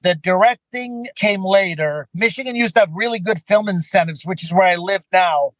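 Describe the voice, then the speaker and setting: A man from Sweden whose first language is Swedish speaks as an interview guest. His voice sits high for a man, in a dry recording with no room sound. He tells stories in a casual, conversational way. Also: moderate pace, fairly bright tone, no room sound. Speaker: podcast guest, filmmaker and actor.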